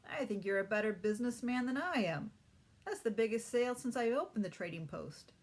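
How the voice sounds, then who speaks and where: Fair-minded, encouraging storybook father female reader, measured, slightly husky. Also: fairly high pitch, expressive, voice pushed up. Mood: pleased. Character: kindly, fair-minded father of young children.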